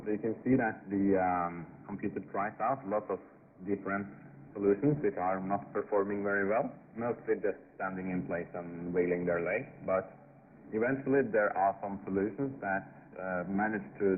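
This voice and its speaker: A man, a university researcher, explaining his work informally and haltingly in an interview. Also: deep voice. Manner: halting, pausing mid-phrase. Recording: tinny, hissy shortwave radio broadcast.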